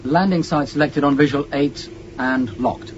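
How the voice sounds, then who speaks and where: A man's voice: a little high, a little slow, lightly acted. An actor playing an eager, inquisitive young crewman in a 1980s radio space drama. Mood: curious. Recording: dull old radio recording, faint noise.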